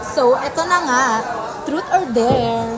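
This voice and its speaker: Exasperated teen schoolgirl played by a young Filipino woman, very high voice, scolding banter, noisy crowd behind. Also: slow. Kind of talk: amateur school radio drama acting.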